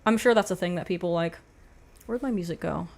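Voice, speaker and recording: A young woman with a quiet voice hosting a livestream, reading chat and chatting frankly in a rambling way, with lots of "like". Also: lowish voice, brisk, creaky. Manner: rambling, frank, laughs often, says "like" a lot. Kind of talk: casual chat.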